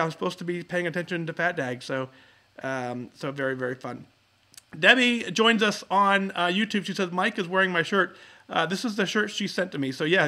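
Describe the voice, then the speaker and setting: A male podcast host with a bright, forceful voice sitting a little high for a man. He chats casually and encouragingly with his live audience and often restarts his sentences as he goes. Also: gravelly. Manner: upbeat and encouraging, with frequent filler words and restarts. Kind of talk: casual live-show chat and announcements.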